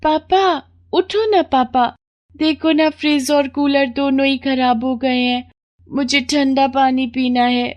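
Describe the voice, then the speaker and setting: Woman voicing a high-pitched, pleading little girl. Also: fairly even intonation, slow pace, darkish tone. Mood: pleading, distressed. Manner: plaintive, childlike pleading. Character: innocent, pleading six-year-old poor girl.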